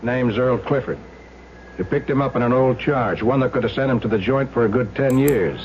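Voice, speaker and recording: A man's voice: medium pitch, lightly acted. An American man playing a skeptical police lieutenant in an old radio crime drama, questioning with dry, knowing sarcasm. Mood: firm, pressing. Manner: deliberate, dryly sarcastic, knowing. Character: skeptical, sardonic male police lieutenant interrogating a suspect. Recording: dull, noisy old radio recording with hum.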